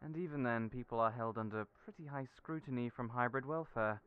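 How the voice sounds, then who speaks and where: A man voicing a young friend who talks earnestly and encouragingly, raised in pitch from his own voice. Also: a little fast, smooth, lightly acted. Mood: explaining. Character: warm, reassuring friend, young adult. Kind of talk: audio roleplay acting. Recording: tinny phone-like audio.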